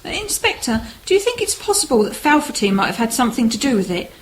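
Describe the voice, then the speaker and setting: A woman's voice: fairly high pitch, sing-song, fast, slight background noise. A British woman playing a reticent, apologetic officer's wife under questioning in a radio drama, hesitant and polite. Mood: uncertain, polite. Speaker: actor in amateur radio play. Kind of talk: scripted radio drama dialogue.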